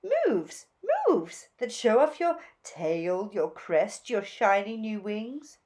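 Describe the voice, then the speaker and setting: A woman voicing a vain, bossy, flamboyant female bird in a children's storybook, theatrical and gushing with drawn-out emphatic words. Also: medium pitch, very animated, a little mellow. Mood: bossy, showy.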